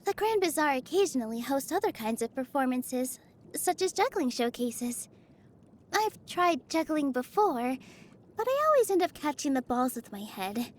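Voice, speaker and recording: An actress voicing a gentle, cheerful young woman dancer in a video game, speaking in a sweet, earnest, easily flustered manner. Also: sits high, big pitch swings, moderate pace. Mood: warm, earnest. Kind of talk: video game character voice lines.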